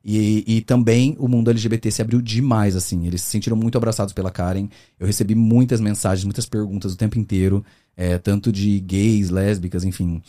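Fast-talking man with an interior São Paulo accent. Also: on the low side. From Brazil, interior of São Paulo state. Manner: fast, animated storytelling with many fillers. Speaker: podcast guest, comedian. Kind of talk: casual interview chat.

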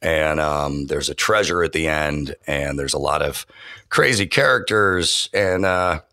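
Man, extremely low voice, enthusiastic, lots of ums and restarts, dry close mic. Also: unhurried, pushed and bright, no room sound. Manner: enthusiastic, many fillers and restarts. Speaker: voiceover artist and audio engineer. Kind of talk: casual interview talk.

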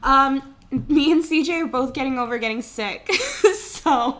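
Young female co-host, high pitch, congested cold voice, playful jokey chat. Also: animated, unhurried, fairly bright tone. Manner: playful, laughs often, jokey. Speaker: podcast co-host. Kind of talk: casual banter.

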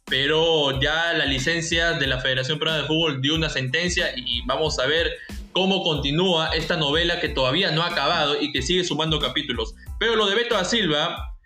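A man's voice: fairly high pitch, pushed and bright. A man from Peru hosting a sports talk show, giving firm, opinionated commentary on football. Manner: opinionated, emphatic, uses Peruvian sports slang. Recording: echoey room with faint music.

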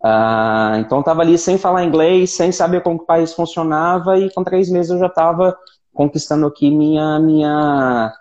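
A man's voice: fairly high pitch, normal speed, darkish tone. A Brazilian man chatting casually on a panel, often stumbling and repeating words as he talks. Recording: dull lo-fi sound.

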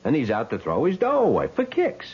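An actor playing a brash, hot-tempered and wary young troublemaker in a radio drama, talking in halting streetwise slang. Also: male voice, fairly high pitch, huge pitch swings, breathy edge, exaggerated voice. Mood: defensive, eager. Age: young adult. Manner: slangy, streetwise, halting when explaining himself. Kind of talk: 1970s radio drama acting. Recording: muffled vintage radio recording, music behind.

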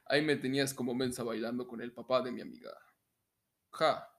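A man's voice: medium pitch, fairly even intonation, brisk, slight background noise. A young man with a Mexican accent co-hosting a podcast in casual comedic chat and story reading, jokey and prone to breaking into laughter. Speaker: podcast co-host.

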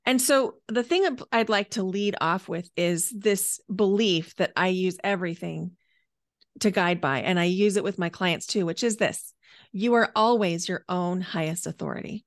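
A woman's voice: on the low side, normal speed, no echo. Woman in her late forties, dry close sound. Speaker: podcast guest, energy healer and coach. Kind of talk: conversational personal storytelling.

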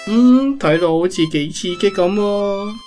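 A woman's voice: medium pitch, lively intonation, measured pace, warm tone, natural voice. A woman playing a bumbling, good-humoured middle-aged father with a Hong Kong accent in a comic audio drama. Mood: jovial. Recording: music and sizzling behind the voice.

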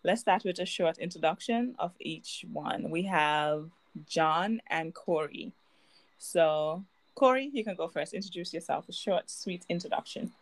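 A woman's voice: on the low side, expressive, measured pace, rough recording. A woman hosting a podcast, bubbly and teasing, laughing often with her guests. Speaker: podcast host. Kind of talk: casual podcast chat.

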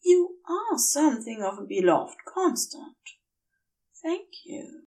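A woman voicing a gentle, adoring male celestial being, earnest and tender in a story reading. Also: high voice, sing-song, slow pace, distant mic, pitched above the performer's own voice, exaggerated voice. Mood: earnest, emotional.

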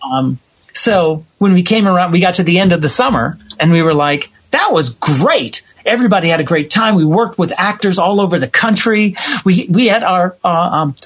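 A man's voice: high-pitched, expressive, moderate pace, a bit bright, breathy edge. A man being interviewed as a theater writer and director, talking conversationally and expressively, acting out imagined quotes as he tells stories.

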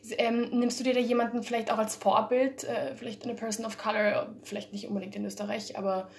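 Woman interviewer from Spain, gentle, hesitant questions. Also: on the high side, level tone, brisk, creaky. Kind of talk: casual interview talk.

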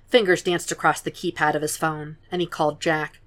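A woman narrating a fan fiction podfic in a quiet, measured reading voice. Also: low voice, little pitch movement.

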